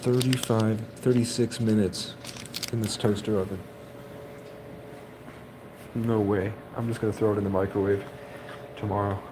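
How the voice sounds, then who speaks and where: A man's voice: fairly low pitch, little pitch movement, moderate pace, long pauses. Rambling man in his thirties. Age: 30s. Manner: rambling, jokey, slips in and out of silly voices. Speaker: vlogger. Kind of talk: rambling comedic vlog. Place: laundromat, then his car. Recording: loud background noise.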